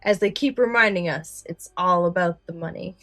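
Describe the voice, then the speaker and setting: A woman chats casually as a podcast co-host. Her voice is a little low. The sound is dry, with faint music underneath. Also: moderate pace, pushed and bright, no echo. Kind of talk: casual chat.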